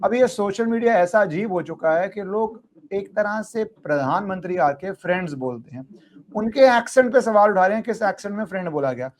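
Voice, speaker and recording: An Indian man hosting a political podcast, talking indignantly in rapid, emphatic bursts. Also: high-pitched, lively intonation. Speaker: podcast host. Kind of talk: animated political discussion.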